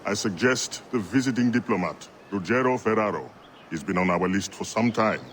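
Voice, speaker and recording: Guy playing calm sly spy contact in a game, real deep, measured, background noise around him. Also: lightly acted. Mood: calm, conspiratorial. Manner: measured, matter-of-fact. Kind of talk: video game acting.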